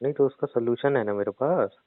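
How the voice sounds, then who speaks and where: A man's voice: medium pitch, quick pace, no room sound. A young man teasing his girlfriend in a comedic phone call, playful and mocking. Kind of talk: scripted comedic phone banter.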